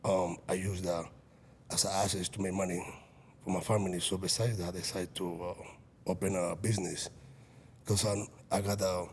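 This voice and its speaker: A man's voice: sits low, level tone, moderate pace, a little hiss or hum. A man in his late thirties, an executive protection agent and business owner, talking earnestly in a casual podcast chat with frequent restarts. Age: 30s.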